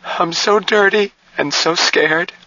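A man voicing a distraught young woman nurse in an audiobook, tearful, pleading and shrill. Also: sing-song, moderate pace, pushed and bright, breathy edge, no echo, lo-fi sound, full character voice. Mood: shrill, desperate.

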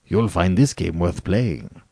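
A man's voice: on the low side, sing-song, measured pace, darkish tone, dry recording, studio quality, exaggerated voice. A man voicing a suave, falsely courteous aristocratic general and cruel hunter in a storybook, with a courtly, condescending, theatrical manner. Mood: gleeful, challenging. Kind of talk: dramatic storybook reading.

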